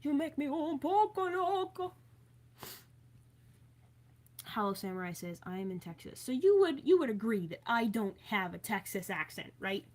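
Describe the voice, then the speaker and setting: A woman's voice: a little high, very animated, moderate pace, hardly any pauses, a little mellow. A woman from Maryland chatting casually on a live stream, reading viewer comments aloud in a playful, chatty way. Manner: chatty and playful, with many fillers and asides.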